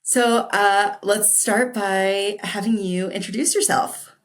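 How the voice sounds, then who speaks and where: A woman's voice: medium pitch, steady tone, slow. A woman hosting a podcast, reading a guest introduction and then chatting casually and effusively, with many fillers and laughs. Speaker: podcast host. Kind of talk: casual interview chat.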